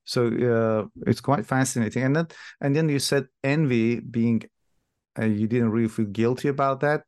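A man whose first language is Persian hosts a book podcast interview. His voice sits at an ordinary middle pitch. He speaks hesitantly and often repeats words while he finds the phrasing. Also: normal speed, darkish tone. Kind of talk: conversational interview.

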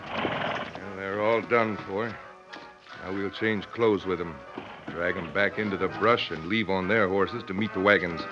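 An actor playing a cunning, ruthless outlaw gang leader in a western radio drama, giving brisk, commanding orders. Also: male voice, low-pitched, over music. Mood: satisfied. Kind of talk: old-time western radio drama acting. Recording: muffled, noisy vintage radio recording.

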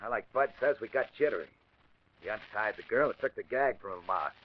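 A blunt male henchman in a vintage radio crime drama. He talks in rough, ungrammatical tough-guy fashion with a lively rise and fall, on a muffled old recording. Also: lowish voice, brisk. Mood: matter-of-fact. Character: crude, defensive gangster henchman.

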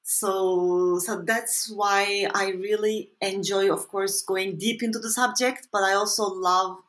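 A woman whose first language is Turkish, an author answering interview questions thoughtfully and pausing to search for words. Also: medium pitch, steady tone, fairly bright tone. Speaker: author and anthropologist guest.

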